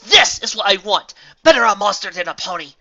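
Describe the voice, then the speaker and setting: Young pony soldier girl, shrill and shouty, bright sharp tone, as a reader puts her on. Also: expressive, moderate pace, highs cut off, exaggerated voice. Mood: anguished. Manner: shrill, desperate, often shouting. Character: fierce young mare soldier, fanatically loyal.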